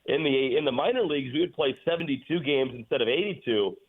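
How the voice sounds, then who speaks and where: A man's voice: mid-range voice, lively intonation, quick pace. A man chatting casually as a guest sports announcer in an interview, over a phone line. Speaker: guest, radio play-by-play announcer for a pro hockey team. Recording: phone-like narrow band.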